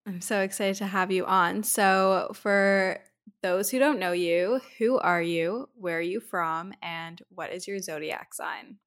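A woman from Canada hosting a podcast in casual chat, hoarse from a head cold, chatty and full of "like". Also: mid-range voice, fairly even intonation, a little slow, a bit bright. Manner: chatty, uses "like" often. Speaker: podcast host.

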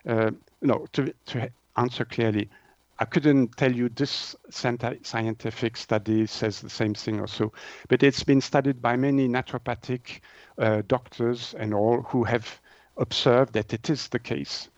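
Guy explaining stuff in an interview, searches for words, medium pitch, dry but kinda dull audio. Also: steady tone, darkish tone, no room sound. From Switzerland. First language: French. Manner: halting, hesitant delivery with word searching. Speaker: naturopath, interview guest.

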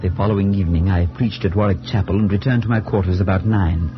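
An actor playing a grave, troubled priest who narrates in a measured, solemn 1940s radio suspense style. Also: male voice, deep voice, steady tone, natural voice. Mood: somber, brooding. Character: grave, troubled male priest, shaken but reasoning. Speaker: narrator and character. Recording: dull 1940s radio recording with music.

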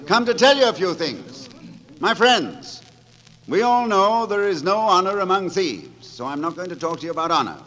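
An actor playing a gallant, roguish gutter poet and leader of rabble in a theatrical, declamatory 1940s radio drama style. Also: male voice, high-pitched, sing-song, moderate pace, fairly bright tone. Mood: rousing, defiant. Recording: 1940s radio broadcast with music behind.

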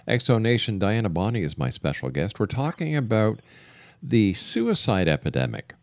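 A man hosting a radio interview, conversational and halting as he frames his questions. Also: lowish voice, sing-song, no room sound. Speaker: radio talk show host.

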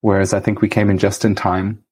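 A young man chats as a guest on a podcast, his voice lowish and mellow with a slightly husky edge. He talks in a measured, reflective way and slips in soft laughs. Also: little pitch movement. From India. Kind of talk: casual chat.

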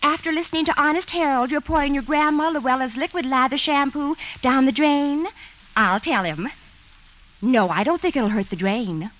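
A woman's voice: sits high, moderate pace, a bit bright, dull audio. A woman playing a chirpy, gossipy switchboard operator in a 1950s radio comedy. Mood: chirpy, polite. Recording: old radio recording with faint noise. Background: faint noise.

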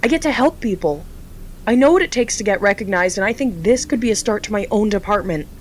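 A woman's voice: medium pitch, animated, fast, slight background noise, close to an everyday voice. An actress playing an earnest, hesitant young woman who works for a charity. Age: young adult.

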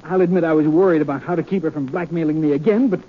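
Nervous, husky radio-drama politician. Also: male voice, on the high side, rapid-fire. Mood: nervous, defensive. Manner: hesitant, defensive. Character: nervous, defensive male politician under suspicion. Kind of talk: 1940s radio drama acting. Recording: dull old radio recording.

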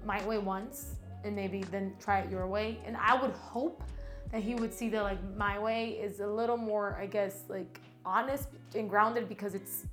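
A woman talking as a guest on a casual podcast, animated and quick, acting out what people said to her. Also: mid-range voice, soft background music. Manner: animated, talks fast, acts out quotes. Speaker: guest actress. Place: Miami.

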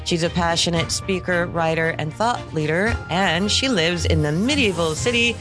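A woman's voice: on the low side, moderate pace, over music. A woman hosting a coaching podcast, giving introductions and putting questions to a guest. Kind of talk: scripted podcast introduction, then casual interview.

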